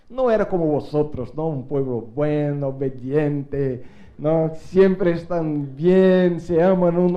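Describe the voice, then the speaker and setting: A middle-aged preacher whose first language is not Spanish delivers a sermon to a church congregation. His voice sits high but has a mellow, dark color. He is animated and swings between soft asides and loud calls for a response, recorded with a slightly muffled sound. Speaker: guest preacher.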